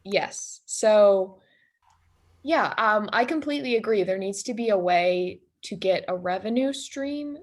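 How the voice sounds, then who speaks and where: A woman's voice: mid-range voice, a little slow. A woman student playing an economist in a class role-play, agreeing earnestly and speaking with many fillers over a video call.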